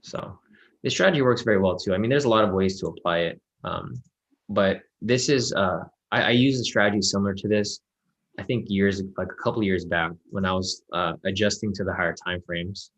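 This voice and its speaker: A man mentoring a student over a video call in a relaxed, reassuring way with many fillers. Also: low voice, fairly quick. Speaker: trading mentor. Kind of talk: casual one-on-one mentoring call.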